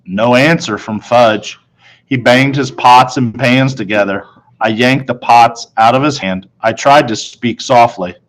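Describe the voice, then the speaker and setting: Male storybook narrator, medium pitch, reading first-person children's fiction aloud. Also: a little slow, poor audio.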